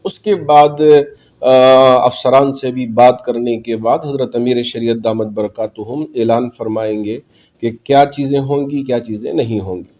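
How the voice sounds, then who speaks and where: Male cleric, dark soft-edged tone, measured formal delivery, public appeal. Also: medium pitch. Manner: measured, formal, Arabic-laced religious Urdu phrasing. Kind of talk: spoken public statement to community.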